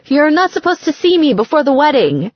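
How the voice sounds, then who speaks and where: A woman's voice: high-pitched, big pitch swings, a little fast, dry recording, dull audio, voice pushed up. A woman voicing a distraught young bride in an audiobook, blunt and whiny, with emphatic, exasperated complaints. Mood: embarrassed, exasperated.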